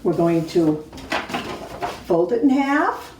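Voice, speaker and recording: A woman's voice: fairly low pitch, big pitch swings, takes it slowly. A woman teacher giving simple step-by-step craft instructions to young children. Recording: roomy, distant mic, faint noise.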